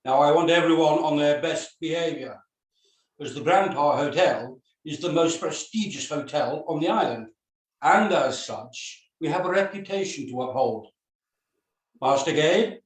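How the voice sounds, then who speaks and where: Male actor as stern, pompous hotel boss, slow formal delivery, slightly high male pitch, roomy echoing sound. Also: close to an everyday voice. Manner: formal, pompous, deliberate speechmaking. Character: stern, self-important male hotel manager. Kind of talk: scripted screenplay read-through.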